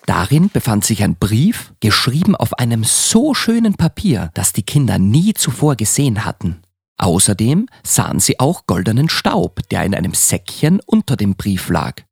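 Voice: medium pitch, big pitch swings, moderate pace, vocal fry, darkish tone, breathy edge, dry recording, studio quality. A young school-age child narrating a children's Christmas radio play in a scripted storybook style. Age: child, about ten.

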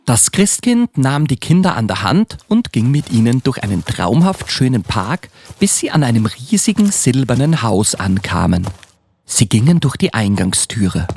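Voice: mid-range voice, big pitch swings, moderate pace, a little mellow, slight background noise. A narrator reading scripted storybook narration for a Christmas radio play.

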